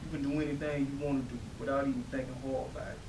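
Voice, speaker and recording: A man's voice: medium pitch, flat delivery, very fast, lightly acted. A man playing a restless, cocky young joker in a readers theatre play, talking in pushy slang. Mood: coaxing. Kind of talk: stage readers theatre acting. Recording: echoey room, distant mic, faint noise.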